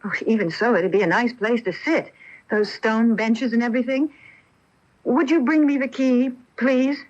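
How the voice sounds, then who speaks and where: A woman's voice: fairly high pitch, moderate pace, a little mellow, lightly acted. An actress playing a curious young woman in a radio mystery drama, hesitant and wondering. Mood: polite, insistent. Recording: dull, lo-fi AM radio tape.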